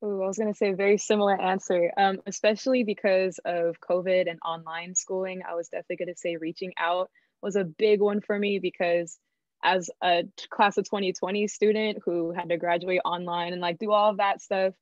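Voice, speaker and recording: Young female student from Oregon, lively talkative panelist, dry and dull video-call sound. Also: fairly low pitch, fairly quick, no echo. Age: young adult. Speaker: orientation leader. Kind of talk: casual panel advice.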